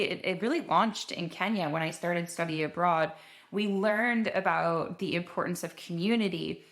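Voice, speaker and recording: A woman's voice: fairly low pitch, a bit bright. A young woman introducing her podcast episode in an earnest, conversational way, sometimes restarting her sentences. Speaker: podcast host.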